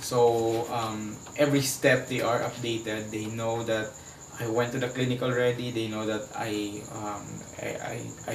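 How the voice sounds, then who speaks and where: A man with a Filipino accent speaking as an interview guest, earnestly and haltingly, searching for his words as he gives personal testimony. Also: on the low side. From Philippines. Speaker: HIV advocate, interview guest. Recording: echoey room, distant mic, faint background noise.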